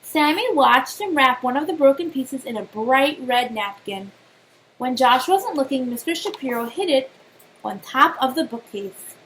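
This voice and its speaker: Woman, high voice, expressive storybook narration, teacher questioning children, faint background noise. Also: poor audio. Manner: expressive, pauses to question young listeners. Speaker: teacher reading aloud to children.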